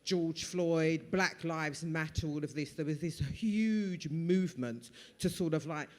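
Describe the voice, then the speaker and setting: A man from the audience thanking the speaker and asking a question from the floor, warm and a little halting. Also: fairly high pitch. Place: event hall. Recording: long reverb of a hall.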